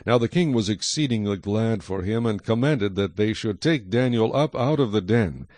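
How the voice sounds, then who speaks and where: A man narrates scripture from a script. His voice is on the low side, and it is recorded very dry, with no room around it. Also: lively intonation, moderate pace, a little mellow, dry recording.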